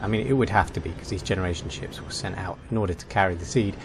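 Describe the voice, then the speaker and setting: A low-voiced man hosts a gaming video, talking through a guide in a casual, conversational way. There is faint noise behind him, like the hum of game ambience. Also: fast talker.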